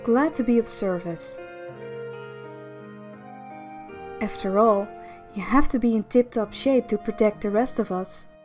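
A woman voicing a young, encouraging and teasing female army tactician in a fantasy game fan dub, with expressive delivery. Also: a little high, normal speed, faint music behind, lightly acted. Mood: caring.

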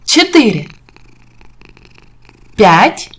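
A woman's voice: fairly high pitch, big pitch swings, extremely slow, fairly bright tone, breathy edge. A woman narrating an educational video for young children in an encouraging, sing-song teacher's manner, putting questions to the viewer. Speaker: presenter of a children's counting video.

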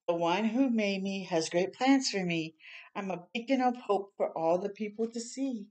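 An older woman reads a children's picture book aloud in a small room at home. Her voice sits at a medium pitch for a woman. She breaks away from the text to add encouraging, chatty asides for young listeners. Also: moderate pace, a little mellow. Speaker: children's storytime reader.

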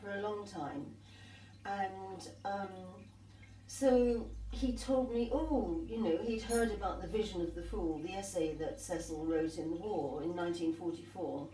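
A woman's voice: mid-range voice, unhurried. An older woman, an artist, telling her story in a rambling, reminiscing way at a tea table in a glass conservatory. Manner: rambling, warm reminiscing with restarts. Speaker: artist and guest. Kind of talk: conversational storytelling. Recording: echoey room, distant mic, faint hiss.

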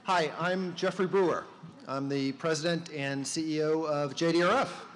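A man addresses a crowd from a podium in a large conference hall, his tone earnest and his speech dotted with ums and uhs. His voice sits fairly high for a man, and the long reverb of the hall trails behind it over a faint hum of room noise. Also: fairly even intonation, normal speed. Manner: earnest, with frequent fillers. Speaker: organization president speaking at an event. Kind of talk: prepared speech.

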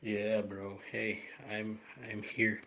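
A man playing a shocked, hesitant bar owner in an audio drama, halting and trailing off as he talks. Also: lowish voice, monotone, bright, forceful tone, dull audio, heavily acted. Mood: shaken, halting. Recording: clearly noisy background ambience.

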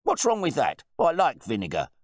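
A pompous, blustering rich man, loud and boastful, his voice pushed high and swooping widely. He speaks with rough grammar and overbearing confidence in a dry storybook reading. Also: wildly swooping pitch, normal speed, no echo. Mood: indignant. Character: pompous, blustering, newly rich male wine bore.

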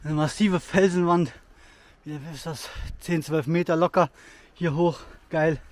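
A man's voice: a little high, steady tone, measured pace, no room sound. A man in his thirties talking casually while hiking through a rocky forest, reviewing outdoor gear with lots of fillers and enthusiasm. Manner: chatty and enthusiastic, with frequent fillers.

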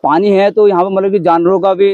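High-voiced, rapid young man. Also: little pitch movement, narrow, tinny audio. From India. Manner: echoes and adds to his partner's points. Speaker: camping vlog co-host. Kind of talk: casual chat to camera. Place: outdoors in a forest by a stream.

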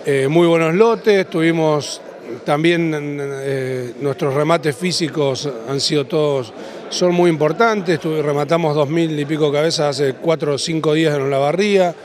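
A man in his thirties with an Argentine accent, answering interview questions as a livestock auctioneer, in a halting way that repeats and rephrases. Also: on the high side, a little mellow. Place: large indoor event hall after an auction. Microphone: handheld interview microphone.

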